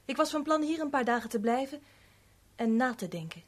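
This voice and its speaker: Husky, guarded young woman. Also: high voice, lively intonation, brisk. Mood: resolute. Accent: Netherlands Dutch. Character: wealthy man's stepdaughter, guarded, troubled, quietly determined young woman. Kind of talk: 1970s Dutch radio play acting.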